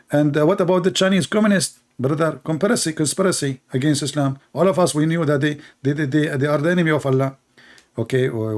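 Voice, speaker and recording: A man with a somewhat high voice rants sarcastically in broken English, full of stumbles and fillers. He mocks as he hosts an online debate. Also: darkish tone. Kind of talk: mocking satirical rant.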